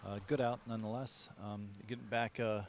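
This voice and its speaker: Male pool commentator, low voice, analytical shot breakdowns, faint room hum. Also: steady tone, fairly quick. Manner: analytical, explains shot choices to viewers. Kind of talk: live sports commentary.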